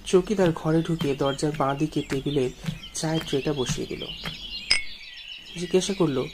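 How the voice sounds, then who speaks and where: A man's voice: fairly high pitch, moderate pace, noisy background. A man narrating a ghost story dramatically in the first person, as a storyteller. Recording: music behind the voice.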